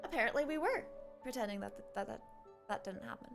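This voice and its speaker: A woman with a high voice gives casual commentary on a game stream. She rambles reflectively and drops in slang, speaking as if to chat. Music plays behind her. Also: lively intonation, fast, vocal fry. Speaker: live streamer playing a game.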